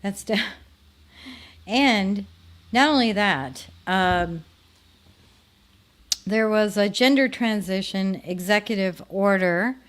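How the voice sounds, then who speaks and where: A woman's voice: mid-range voice, takes it slowly, pauses often. A woman with a Minnesotan accent hosting a show, talking casually to her viewers in a rambling way that trails off. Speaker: show host.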